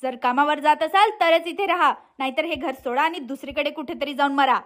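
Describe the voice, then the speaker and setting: A woman's voice: sits high, brisk, a bit bright. A woman voicing a cruel, short-tempered aunt in a cartoon moral story, scolding and threatening. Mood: angry, demanding.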